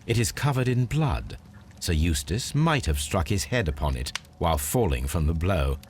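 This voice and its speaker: A deep, melodic English voice for a Victorian gentleman detective in a video game. He is composed and analytical, weighing each observation with deliberate precision, over faint background noise. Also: big pitch swings.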